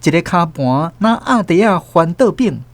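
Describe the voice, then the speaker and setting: A man with a Taiwanese accent narrating a radio novel in an expressive storytelling manner. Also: high-pitched, normal speed, dry recording. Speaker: narrator and host.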